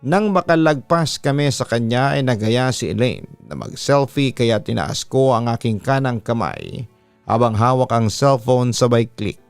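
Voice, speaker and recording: Male Filipino radio-style narrator for a story read. Crisp, resonant, measured and dramatic, close-miked over faint music. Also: medium pitch, lively intonation, no echo. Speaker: radio announcer hosting a horror story show, reading listeners' letters. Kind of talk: scripted first-person story narration. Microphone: close studio microphone. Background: soft background music.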